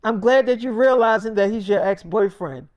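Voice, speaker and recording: A man's voice: high voice, normal speed, dry recording, studio quality, voice pushed up, natural voice. A man voicing a blunt, defiant young woman in a storytime, defensive and brazen, with plenty of swearing.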